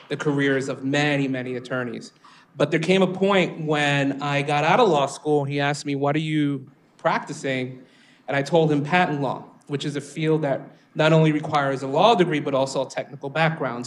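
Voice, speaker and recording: A man on a discussion panel, telling a reflective story about mentorship in a measured way. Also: mid-range voice, a little hiss or hum. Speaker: panelist, venture capitalist. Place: event space with audience.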